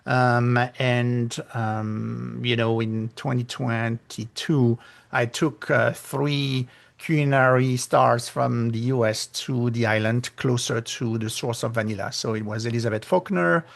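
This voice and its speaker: A middle-aged man with a French accent, talking as a guest author in a casual interview, with many pauses and fillers, lively when he retells conversations. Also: medium pitch, vocal fry. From France.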